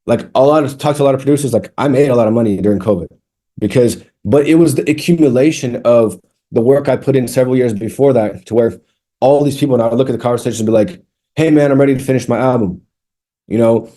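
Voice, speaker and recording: Rambling mellow-voiced man in his thirties. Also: mid-range voice, quick pace. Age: 30s. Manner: rambling, frequent restarts and fillers, candid. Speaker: music producer, guest. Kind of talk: casual interview chat.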